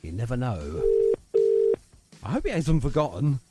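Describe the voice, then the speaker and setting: A man's voice: mid-range voice, very animated, unhurried. A British man hosting a live radio show with chirpy, teasing, jokey banter. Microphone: studio radio microphone. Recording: music behind the voice. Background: music bed.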